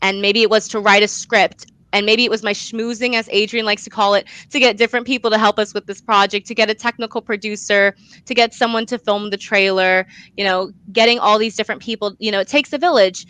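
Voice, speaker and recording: A young woman speaks as an interview guest in a bright, smooth voice. She is earnest and emphatic, talking conversationally. The sound is dry, with little room around her. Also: mid-range voice, fairly even intonation, fairly quick, no echo, poor audio. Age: young adult. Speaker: guest, student actor and playwright.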